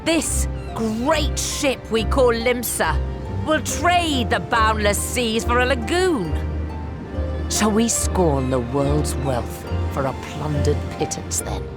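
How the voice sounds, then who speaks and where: A resolute naval admiral. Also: female voice, a little high, takes it slowly. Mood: rousing. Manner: formal, rousing speechmaking. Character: woman admiral of a seafaring nation, resolute. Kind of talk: video game cutscene acting. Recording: music playing underneath.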